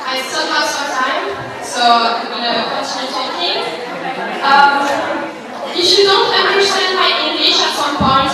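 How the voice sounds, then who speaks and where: A woman English teacher speaking informally to a class, encouraging students to ask questions, with frequent fillers like "like" and "um". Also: high voice. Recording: echoey hall, voice from far off.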